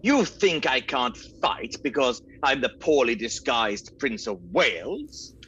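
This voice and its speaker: A man playing a wry, indignant captive hero in a comedic radio play, with a husky voice and dry delivery. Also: mid-range voice, very animated, takes it slowly, a bit bright, lo-fi sound, slight background noise.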